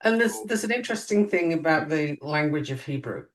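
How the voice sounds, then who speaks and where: A woman co-hosting a podcast in casual chat, musing and lively, her pitch jumping up on emphatic questions. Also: fairly quick. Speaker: podcast co-host. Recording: voice a bit distant from mic.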